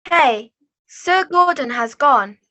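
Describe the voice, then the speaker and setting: A woman's voice: high-pitched, expressive, slow pace, pushed and bright, heavily acted. A young woman voicing an insistent, matter-of-fact puppet in an amateur play over a video call. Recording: muffled video call audio.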